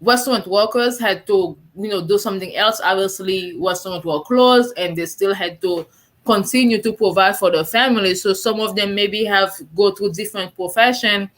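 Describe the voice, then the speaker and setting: A woman hosting a live online talk show, chatty and relaxed, with many fillers. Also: mid-range voice, moderate pace. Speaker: host.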